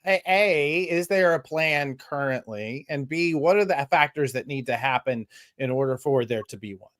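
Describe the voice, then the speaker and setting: A man's voice: on the high side, moderate pace, fairly bright tone. A man hosting a live panel talk, conversational and deferential as he brings guests in. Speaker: host of a live panel show.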